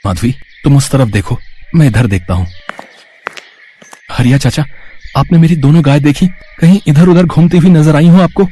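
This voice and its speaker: A man's voice: on the high side, moderate pace, long pauses, mellow, a little hiss or hum, close to an everyday voice. A man playing a devout, anxious village husband in a story, speaking in an earnest, pleading way. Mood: worried, pleading. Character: devout, anxious village husband who loves his cows. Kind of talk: story voice acting.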